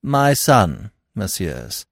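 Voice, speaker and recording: A man's voice: deep voice, wildly swooping pitch, extremely slow, gravelly, fairly bright tone, no room sound, studio quality, heavily acted. A man reading aloud, voicing a grieving, dignified middle-aged widow who speaks with reticent composure. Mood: shaken. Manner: reticent, composed, then breaking.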